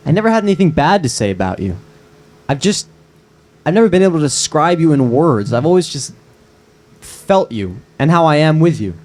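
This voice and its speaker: A man's voice: on the high side, very animated, a little fast, darkish tone. A man reading the part of an earnest, smitten young man with dry humor at a screenplay table read. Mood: tender, earnest.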